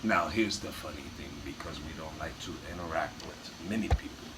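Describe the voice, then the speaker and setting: A man's voice: extremely low pitch, quick pace. A man playing an earnest, sentimental Bulgarian immigrant businessman in an improvised comedy chat, rambling in broken English with a mock Bulgarian accent. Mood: sentimental, pleased. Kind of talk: improvised comic character chat. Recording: distant mic, clearly noisy room.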